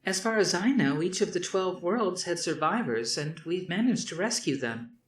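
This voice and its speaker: A man reading aloud, voicing a sharp-tongued young woman officer who is the commander's daughter and keeps prodding her father with questions. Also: fairly low pitch, lively intonation, moderate pace, reverberant, pitched above the performer's own voice, close to an everyday voice. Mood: questioning. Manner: prodding, challenging.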